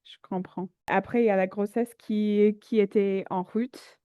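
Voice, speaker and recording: A woman hosts a casual podcast interview, asking friendly questions with a slight cold in her voice. Her tone is mellow and dark, and the sound is dry and close, with no room echo. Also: medium pitch, fairly even intonation, unhurried, clean tone. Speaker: podcast host.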